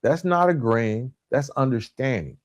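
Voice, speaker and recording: A man hosting a motivational self-help podcast, speaking in an emphatic, instructional way with deliberate pauses and stressed key words. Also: medium pitch, animated, dry recording, studio quality. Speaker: podcast host.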